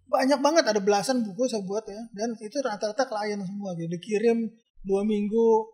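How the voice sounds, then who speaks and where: A man's voice: sits high, a little fast, a little mellow. Brief: male photographer guest from Indonesia, casual, rambling and chatty with many fillers. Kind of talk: casual interview talk.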